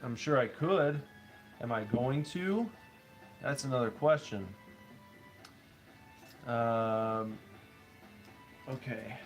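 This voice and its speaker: A man's voice: medium pitch, drawn-out words, long pauses. A man in his thirties with a stuffy head cold, rambling playfully while he builds. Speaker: streamer building toys. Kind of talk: casual play-by-play while building. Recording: stream with background music. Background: background music.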